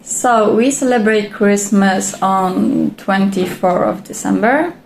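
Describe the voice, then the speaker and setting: A woman's voice: medium pitch, slow, vocal fry. A twenty-year-old woman with a Polish accent, giving informal interview answers. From Poland. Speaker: interviewed volunteer. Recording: echoey room, faint ambient noise.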